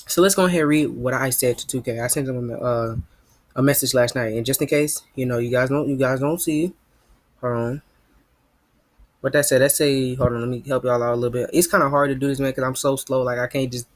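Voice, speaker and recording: A teenage gamer talks fast and loose, tossing in "man" every few breaths. His mid-pitched voice sits close to the mic with no room sound at all. He rambles to his viewers from his home setup. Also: dry recording. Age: teens. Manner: rambling, repeats "man" and "you know what I mean". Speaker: gaming YouTuber. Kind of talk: casual gaming vlog.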